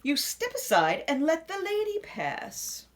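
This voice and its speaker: A woman reading a children's picture book aloud expressively, with dramatic pauses and hushed asides. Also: sits high, wildly swooping pitch.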